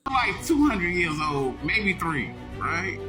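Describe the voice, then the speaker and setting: A man hosting a short online video, giving emphatic, repetitive commentary that keeps bursting into shouts. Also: high-pitched, big pitch swings, slow pace, music in the background. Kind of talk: animated social media commentary.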